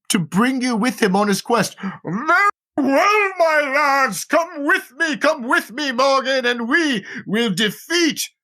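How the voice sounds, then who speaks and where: A man putting on a much higher voice to play a theatrical stage performer, hammy and exclamatory. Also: bright, forceful tone, full character voice.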